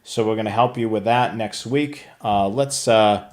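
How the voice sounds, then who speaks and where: A man's voice: lowish voice, moderate pace. A man co-hosting a live hobby show, talking casually through products and services for viewers. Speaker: co-host of a live radio scanner show.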